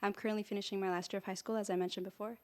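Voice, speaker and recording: A woman's voice: mid-range voice, flat delivery, very fast. A teenage girl from Mexico giving a composed, prepared speech as a student presenter. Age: teens.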